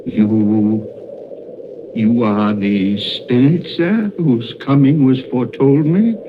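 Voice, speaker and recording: An actor playing a frightened, remorseful elderly miser in a theatrical, exclamatory 1930s radio drama style, often stammering and repeating words. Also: male voice, mid-range voice, very slow. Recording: noisy, dull vintage radio broadcast.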